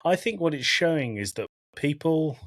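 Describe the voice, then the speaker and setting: A man's voice: fairly high pitch, expressive, normal speed, a bit bright, dry recording, studio quality. A man hosting an informal podcast about films and games, talking with jokey asides and some swearing. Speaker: podcast host. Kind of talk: informal film and game discussion, recapping from notes.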